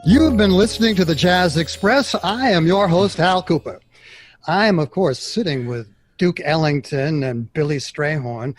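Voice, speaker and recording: A showy jazz radio host of the 1960s. He projects like an announcer in a fairly high, very lively voice, with faint music behind him. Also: moderate pace. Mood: upbeat announcing.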